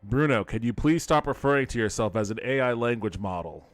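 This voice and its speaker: A man's voice: medium pitch, animated, brisk, faint music behind. A man doing casual gameplay commentary as a streamer, talking in an amused, incredulous, sweary way. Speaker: video game streamer and podcast host.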